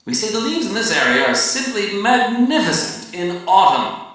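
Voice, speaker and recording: A man giving a dramatic literary reading as a pompous, preachy coach of realtors, lecturing emphatically with deliberate pauses. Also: high voice, big pitch swings, a bit bright. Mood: preachy, savoring. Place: large echoey venue, live reading. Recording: very echoey room, far from mic.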